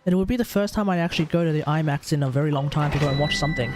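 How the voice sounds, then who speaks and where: Higher-voiced Australian man livestreaming. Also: very fast, loud music behind the voice. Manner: rambling, sighing, hesitant. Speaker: livestream host, gamer. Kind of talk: casual livestream chat.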